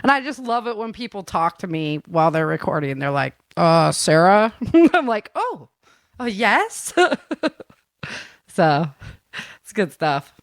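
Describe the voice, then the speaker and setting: A woman's voice: lowish voice, big pitch swings, unhurried, no echo, studio quality. A woman hosting a casual chat in a talkative, giggly way, often acting out little quotes. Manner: chatty, laughs often, acts out little quotes. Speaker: audio drama producer and show host.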